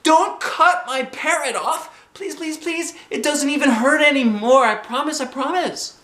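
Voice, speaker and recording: Storybook little girl, acted by a man: high, bright, stubborn and dramatic, pleading or boasting, roomy sound. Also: a little slow, full character voice. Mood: desperate pleading. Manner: exclamatory, repeats herself, dramatic.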